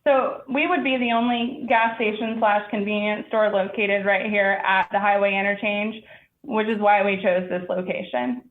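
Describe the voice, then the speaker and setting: A woman's voice: mid-range voice, fairly even intonation, moderate pace. Businesslike female company representative, assured public-hearing delivery, heavy room echo and narrow, tinny band. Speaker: applicant representative for a store chain. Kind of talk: presentation and answers at a public hearing. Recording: echoey room, thin band-limited sound.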